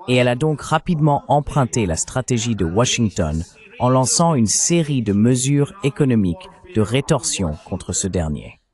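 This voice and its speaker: A man's voice: medium pitch, darkish tone. A man delivering scripted political and economic commentary in a formal, didactic manner. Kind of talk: scripted analytical narration.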